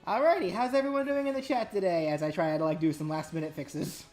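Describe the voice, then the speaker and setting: Low-voiced woman hosting a live stream. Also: steady tone, fast, darkish tone, soft background music. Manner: rambling, restarts words often. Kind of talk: casual live-stream chat.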